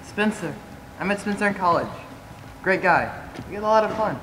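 A man's voice: high-pitched, animated, a little fast, fairly bright tone. A man playing a frank young friend who reminisces in a stage play. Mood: reminiscing. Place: theater stage, indoors. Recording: distant, reverberant theater, faint noise.

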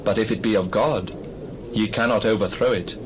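A man's voice: fairly low pitch, steady tone, natural voice. Man acting a cautioning Pharisee, noisy ambience. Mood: grave caution. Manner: deliberate, admonishing oratory. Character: measured, cautioning Pharisee elder and law teacher. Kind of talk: dramatized Bible reading.

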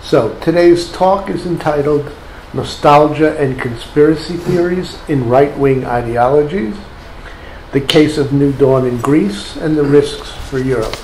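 A man's voice: on the high side, measured pace, darkish tone. A man in his sixties giving a formal introduction of a guest speaker, partly reading from notes. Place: wood-panelled seminar room, indoors. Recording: echoey room, faint background noise.

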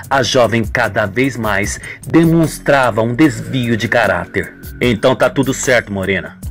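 A man narrates a radio soap from a script. His delivery is melodramatic, with lively pitch movement, and faint music plays behind him.

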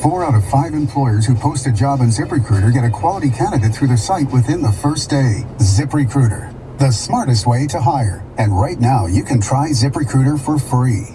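A man's voice: expressive, normal speed, music in the background. A man reading a scripted radio advertisement as an announcer. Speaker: commercial announcer. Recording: noisy, rumbling car radio pickup.

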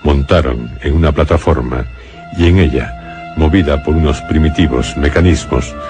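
A man narrating a radio horror drama as a grave storyteller, solemn and deliberate, with a Castilian accent. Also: a bass voice. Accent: Castilian Spanish. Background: music.

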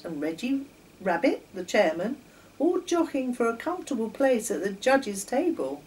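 Older female storyteller for children's tales, expressive pitch movement, unhurried, faint background noise. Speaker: children's story author and reader. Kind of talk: reading a children's story aloud. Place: home kitchen, indoors. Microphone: camera a few metres away. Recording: distant camera mic, faint noise.

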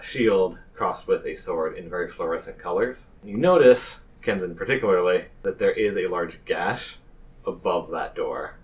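A man narrating a tabletop game as game master, halting and pausing between phrases. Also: sits low. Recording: distant microphone.

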